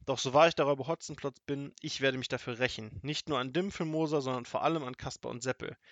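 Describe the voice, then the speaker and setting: A man voicing a gruff, vengeful middle-aged robber in a storybook reading, growling and boastful, with loud threats. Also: medium pitch, fairly even intonation, fast talker, dry recording, lo-fi sound, close to an everyday voice. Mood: grumbling vengeful. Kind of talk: storybook audiobook acting.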